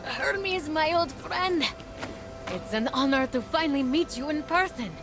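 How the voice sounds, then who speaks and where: An actress playing an eager, brave young soldier in a video game, earnest and expressive. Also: female voice, high-pitched, unhurried, over music. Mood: eager, wondering. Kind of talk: video game character acting.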